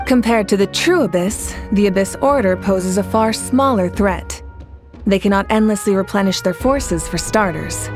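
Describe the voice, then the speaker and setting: An actress voicing a confident female leader of a nation at war in a video game, measured and reassuring. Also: medium pitch, soft background music, natural voice. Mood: steady.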